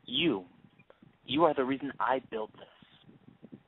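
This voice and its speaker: Guy playing fake friendly younger schemer, deliberate and flattering, audio drama, thin phone sound. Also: medium pitch, gravelly, slightly rough, heavily acted. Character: younger lean host, smooth, scheming, falsely friendly. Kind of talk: audio stage-play acting. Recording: tinny narrowband phone-like line.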